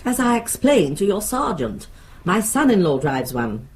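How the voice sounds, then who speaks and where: An actress playing a haughty, opinionated older British witness in a radio drama, prim, precise and sure of herself. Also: female voice, lowish voice, very animated, a little slow, a little hiss or hum. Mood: certain, prim. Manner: prim, precise, indignant certainty.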